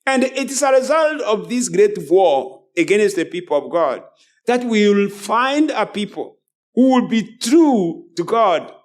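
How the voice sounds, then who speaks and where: A man's voice: high-pitched, big pitch swings, a little slow. A man preaching a sermon as a preacher, emphatic and repeating phrases as he goes. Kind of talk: sermon with scripture and book readings.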